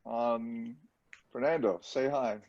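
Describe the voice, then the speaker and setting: Gravelly guy, halting and rambly, muffled call from home. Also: mid-range voice, steady tone, dry recording. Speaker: music producer. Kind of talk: casual video call chat. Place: at home with a dog. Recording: dull lo-fi call audio.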